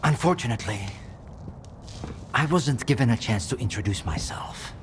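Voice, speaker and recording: An actor playing an earnest, polished scientific leader with visionary zeal, speaking formally and persuasively in a video game cutscene. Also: male voice, mid-range voice, lively intonation, moderate pace, natural voice. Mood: earnest persuasion. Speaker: head of a scientific institute. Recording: faint ambient noise behind voice.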